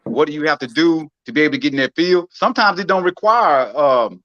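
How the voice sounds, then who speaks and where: A man's voice: a little high, animated, brisk, sharp tone, dry recording. A man on a casual podcast, easygoing as he talks through his own path to work and sprinkles in "man" and "I mean.". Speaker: podcast co-host. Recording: dull lo-fi sound.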